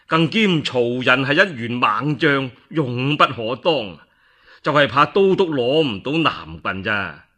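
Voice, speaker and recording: A man voicing a courteous, cautious middle-aged lord in Cantonese, soft-spoken and earnest. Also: mid-range voice, animated, slow pace, heavily acted. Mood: courteous, sly.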